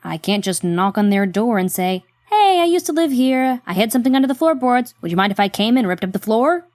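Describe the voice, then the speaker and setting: An actress playing a wry, reluctant but loyal helper in a horror audio drama, talking in a dry, conversational way. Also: female voice, on the high side, animated, fairly quick, darkish tone, no room sound, lightly acted.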